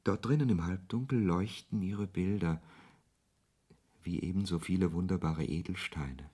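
A man's voice: normal speed, warm tone. An actor playing a brooding, ironic author who narrates his own story in a radio play, with a literary, sardonic manner. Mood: reflective narration. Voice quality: low, husky voice. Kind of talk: first-person radio play narration and dialogue.

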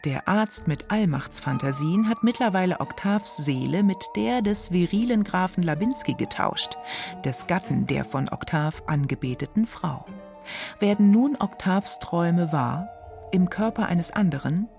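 A woman's voice: on the low side, lively intonation, unhurried, vocal fry, a little mellow, faint music behind. A woman radio presenter giving a scripted, dramatic introduction to a literary reading.

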